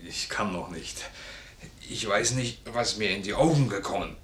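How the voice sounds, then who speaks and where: An actor playing a gruff but good-hearted sergeant with blunt, folksy soldierly talk in a 1950s German radio play. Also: male voice, lowish voice, moderate pace, fairly bright tone, a little hiss or hum, lightly acted. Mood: bashful. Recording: roomy 1950s radio studio recording.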